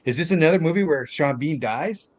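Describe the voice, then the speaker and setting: A man chatting casually as a panelist on a film discussion, in a halting way with phrases that trail off. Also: on the high side, lively intonation. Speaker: podcast panelist discussing films. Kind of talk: casual chat.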